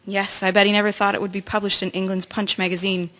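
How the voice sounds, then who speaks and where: A woman's voice: medium pitch, little pitch movement, fast, a bit bright, lightly acted. A woman playing an earnest wartime nursing sister in a radio drama, confiding news to a colleague.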